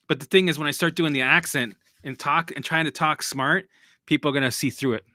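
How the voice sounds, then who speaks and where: A man talks to viewers on a casual live stream as a recovery coach. His voice sits a little high and sounds bright, and the sound is close and dry with no room echo. He rambles, repeats himself, swears casually and laughs at his own jokes. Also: normal speed.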